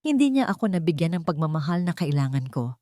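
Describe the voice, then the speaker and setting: A woman's voice: low voice, very animated, quick pace, mellow, no room sound. A woman narrator plays a deceitful Filipino husband in an audiobook drama. He is self-justifying and falsely sincere, posing as the wronged man. The sound is close and dry. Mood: falsely wounded.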